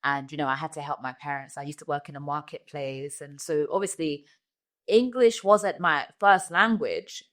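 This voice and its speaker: A woman hosting a comedy podcast, chatting casually with playful teasing and drawn-out words. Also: low voice, a bit bright. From Ghana. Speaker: podcast host. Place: studio podcast.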